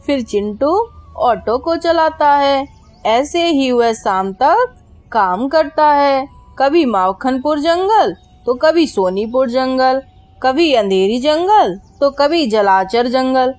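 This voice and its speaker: Slow, high-pitched woman narrating a children's story. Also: lively intonation, a little mellow, dry recording.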